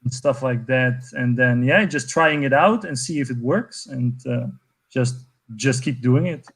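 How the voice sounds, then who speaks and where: A man's voice: mid-range voice, a little slow, fairly bright tone, husky. A man in his twenties from Portugal, a guest speaking enthusiastically over a video call with many fillers and restarts. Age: 20s. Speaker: guest, network co-founder. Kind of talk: conversational interview answer.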